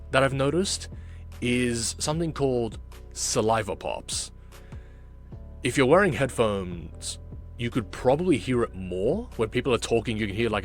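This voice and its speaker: Low-voiced, lively man reacting over music. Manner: self-critical, reflective. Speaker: video creator and amateur voice actor. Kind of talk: casual reaction commentary.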